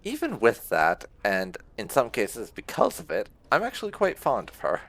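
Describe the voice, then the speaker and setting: A man's voice: mid-range voice, wildly swooping pitch, moderate pace, a bit bright, slight background noise. A man giving casual video game playthrough commentary, musing aloud as he goes. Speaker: gaming channel host. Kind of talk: casual playthrough commentary with role-played dialogue.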